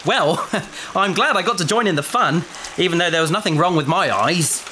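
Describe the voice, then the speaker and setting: An actor playing a wry companion who answers with deadpan understatement. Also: mid-range voice, normal speed, a little hiss or hum, rough recording. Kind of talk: audio drama acting. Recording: loud music score under voice.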